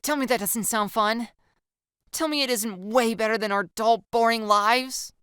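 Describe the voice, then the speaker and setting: A woman's voice: a little high, moderate pace, fairly bright tone, clean tone, no room sound, clean studio sound, lightly acted. A woman voicing a playful, pleading girl in an audiobook, with a theatrical, teasing, slangy way of talking. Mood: eager, coaxing. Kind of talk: audiobook character dialogue.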